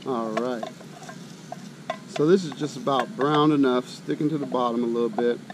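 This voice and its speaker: Loud outdoor ambience with an engine-like rumble sits behind a middle-aged American man. His voice is medium in pitch. He talks casually and colloquially, thinking out loud as he hosts a cooking demonstration. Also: normal speed. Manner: folksy, colloquial, thinking aloud. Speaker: host of an outdoor cooking channel. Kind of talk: casual chat while demonstrating camp cooking.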